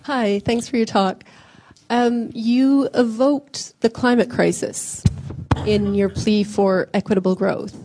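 A woman in the audience asking an articulate, measured question at a lecture. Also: a little high, warm tone, slight background noise, low-quality recording.

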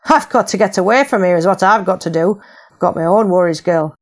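A woman's voice: fairly low pitch, a little fast, natural voice. A woman voicing a blunt, tough older rebel leader, in an audiobook. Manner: blunt, gruff dialect.

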